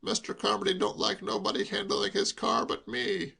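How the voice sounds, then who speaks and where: A man's voice: sits high, little pitch movement, moderate pace, vocal fry, pushed and bright, husky, voice pushed up, full character voice. A man doing a chatty, proper old-school chauffeur. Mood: reproving. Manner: deferential, long-winded, rambling. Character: chatty, proper working-class male chauffeur.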